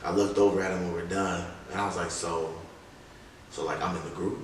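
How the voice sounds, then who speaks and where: A young man with a very low voice tells stories casually, full of slang and replayed bits of conversation. He sounds like a rapper in an interview, picked up from a distance in a very echoey room. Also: steady tone, fairly quick. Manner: slangy, acts out remembered dialogue. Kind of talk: casual anecdotal interview talk. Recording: echoey room, distant mic, faint noise.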